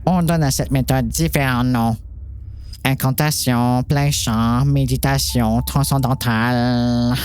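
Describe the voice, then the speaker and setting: A woman playing a calm, patient doctor in an amateur audio drama, speaking in a measured, reassuring way. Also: a bass voice, smooth. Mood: patient, encouraging. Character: calm, patient doctor coaching a troubled girl.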